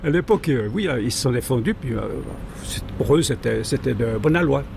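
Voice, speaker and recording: A man with a Swiss French accent explains history in a conversational way. He is good-humoured and a little hesitant, wandering into asides. His voice is mid-pitched, with slight ambient noise behind it. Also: expressive, a little fast, vocal fry, a little mellow. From French-speaking Switzerland, Jura arc. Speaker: guest, amateur railway historian.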